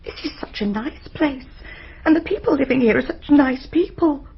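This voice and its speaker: A woman's voice: high voice, lively intonation, normal speed. An actress playing an anxious, bereaved middle-aged mother in a radio drama, genteel and hesitant. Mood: distraught. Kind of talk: radio mystery drama acting. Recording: muffled radio drama recording.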